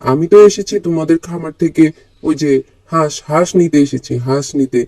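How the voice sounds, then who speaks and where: A man voicing a meek, forgetful village husband in a cartoon, talking in a hurried, fretful way. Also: a little high, fairly even intonation, warm tone, dry recording, close to an everyday voice. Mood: friendly. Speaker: cartoon voice actor. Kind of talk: cartoon voice acting.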